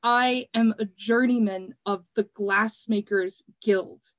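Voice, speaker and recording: A furious grown male glassblower, as a woman reader puts him on, speaking in slow, deliberate words. The voice is set a little back from the mic, and the recording sounds dull. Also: on the high side, a bit bright, no room sound. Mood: angry slow.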